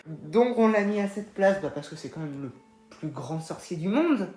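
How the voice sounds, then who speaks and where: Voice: medium pitch, animated, moderate pace, warm tone, echoey room, faint music behind. A speaker co-hosting a casual ranking video, reading out the entries in a matter-of-fact way. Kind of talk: casual scripted chat.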